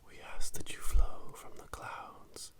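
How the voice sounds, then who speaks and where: A solemn coven witch played by an ASMR performer leads a ceremonial invocation. The voice is a breathy whisper, unhurried and chanting, right up against a binaural microphone. It has the hushed, grave manner of ASMR roleplay. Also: natural voice. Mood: solemn, reverent. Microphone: close binaural microphone, ear to ear.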